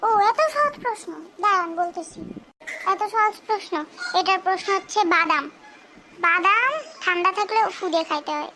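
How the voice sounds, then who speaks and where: A cheeky young cartoon boy, voiced by a performer quizzes his uncle. The voice sits extremely high and bright and has a faint hiss behind it. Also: normal speed, exaggerated voice, poor audio. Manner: teasing, playful, chirpy. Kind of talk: cartoon dubbing.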